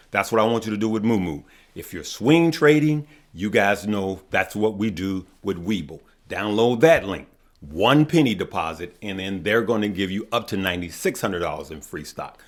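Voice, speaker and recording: Hoarse man quizzing his viewers. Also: on the low side. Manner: asks questions then answers them; addresses viewers as good people. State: losing his voice. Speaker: stock market video host. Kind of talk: casual finance talk to viewers.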